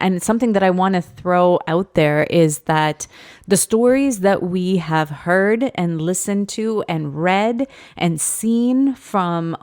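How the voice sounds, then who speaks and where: A woman in her late twenties co-hosting a teachers' podcast, speaking earnestly and deliberately, repeating phrases for emphasis. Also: on the low side, a little mellow. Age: late 20s. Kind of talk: reflective podcast conversation.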